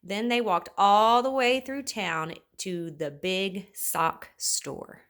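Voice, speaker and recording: A woman reading a children's story aloud in an expressive, playful manner. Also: mid-range voice, slow pace. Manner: animated, with playful repetition and sound words. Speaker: storybook reader.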